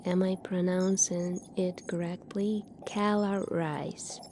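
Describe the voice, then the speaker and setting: A woman speaks very slowly in a soft ASMR style, with a mellow, slightly low voice. She plays a calm, formal medical officer in a sci-fi future, measured and clinical in her delivery. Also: a little hiss or hum. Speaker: ASMR roleplay performer. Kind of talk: soft-spoken ASMR roleplay.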